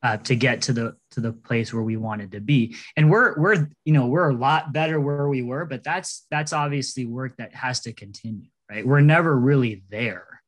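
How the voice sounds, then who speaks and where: A man's voice: mid-range voice, normal speed, hardly any pauses. A man in his thirties on a video call, speaking earnestly as a panelist, with frequent restarts. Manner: earnest, conversational, many restarts. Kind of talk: panel discussion. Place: indoors, small room. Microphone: laptop webcam on a video call.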